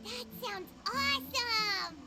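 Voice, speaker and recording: A tiny squeaky game fairy, super high n bouncy, perky kid-like, loud music. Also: female voice, slow, exaggerated voice. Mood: chirpy, excited. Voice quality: squeaky, childlike. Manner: refers to herself in third person, perky. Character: tiny chirpy female fairy companion, childlike and eager. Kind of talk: cartoonish video game voice acting.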